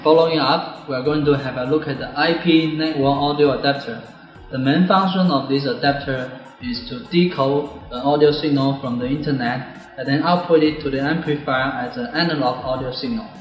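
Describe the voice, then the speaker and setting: A man's voice: medium pitch, moderate pace. A man, giving a scripted product demonstration. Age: 20s. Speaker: product presenter. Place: bare indoor office or classroom. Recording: very echoey room, music behind.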